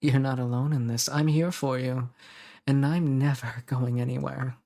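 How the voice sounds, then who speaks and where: Man acting a creaky-voiced, intensely devoted lover. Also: medium pitch, flat delivery, mellow, lightly acted. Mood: tender, insistent. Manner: pleading, earnest, dramatic pauses. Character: intensely devoted male lover, tender, protective, possessive. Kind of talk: romantic roleplay audio acting.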